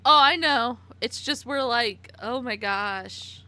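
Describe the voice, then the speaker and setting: Brief: late-twenties woman streamer, chatty and giggly, slow easy delivery with a fairly high voice. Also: sharp tone. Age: 20s. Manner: chatty, giggly, answers chat by name. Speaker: live streamer. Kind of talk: casual live-stream chat while playing.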